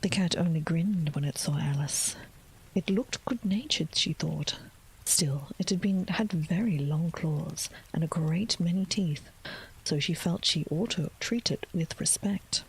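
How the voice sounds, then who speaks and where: A woman reading a children's classic aloud in a soft, gentle whisper, as in ASMR storytelling. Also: sits low, unhurried, breathy edge, low-quality recording.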